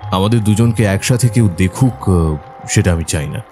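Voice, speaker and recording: Male suitor actor, audio drama: low, dark-toned, secretive gentleman, softly urging and insistent. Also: normal speed, faint music behind, close to an everyday voice. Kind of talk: Bengali audio drama acting.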